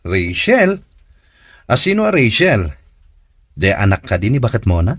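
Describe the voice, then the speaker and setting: A man's voice: lowish voice, wildly swooping pitch, unhurried, no room sound, studio quality, heavily acted. A man acting a nosy, encouraging buddy in a radio drama. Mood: teasing, curious.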